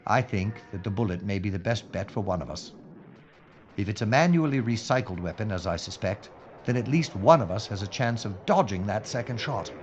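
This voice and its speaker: A man playing a courteous, unflappable police inspector in wartime London, dryly understated and deliberate, in an audio drama. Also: lowish voice, lively intonation, highs cut off, faint music behind, lightly acted. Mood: grave, deducing.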